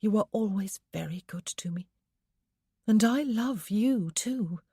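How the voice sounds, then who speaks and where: A woman voicing a gentle young wife in a storybook, speaking in a soft, breathy, heartfelt way. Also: medium pitch, normal speed, no room sound, studio quality, voice pushed up. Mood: tender.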